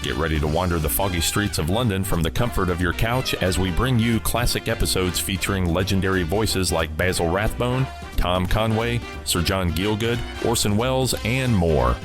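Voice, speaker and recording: A man's voice: deep voice, moderate pace, over music. A man hosting a radio program with scripted, promotional narration. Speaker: podcast and radio program host.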